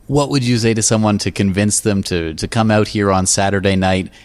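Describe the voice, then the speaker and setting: A low-pitched man conducts a radio interview. He asks his questions conversationally, and the sound is close and dry, with little room around it. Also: fairly quick, dry recording.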